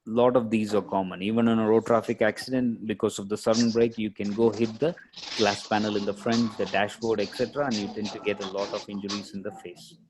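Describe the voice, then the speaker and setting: A man lecturing as a radiologist, explaining case images step by step in a halting, explanatory way. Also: fairly low pitch, little pitch movement, background noise.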